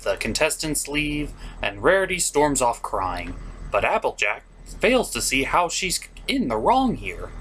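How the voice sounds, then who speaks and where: Animated man reviewing with big pitch swings. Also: slight background noise. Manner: theatrical, sarcastic, exclamatory. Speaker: host of a cartoon review show. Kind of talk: comedic review commentary.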